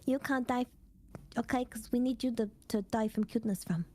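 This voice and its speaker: A woman's voice: a little high, steady tone, fairly quick, mellow, slight background noise. A woman with a cutesy, theatrical, teasing manner, bantering casually on a livestream and dropping in playful exclamations. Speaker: VTuber collab partner and streamer.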